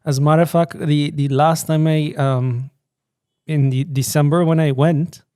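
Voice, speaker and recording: A man's voice: a little high, fairly even intonation, moderate pace, warm tone, clear, resonant tone, dry recording, studio quality. A man from Guyana with a Guyanese accent, a guest talking earnestly and proudly in a casual podcast chat. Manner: proud, earnest, laughs often. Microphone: studio podcast mic.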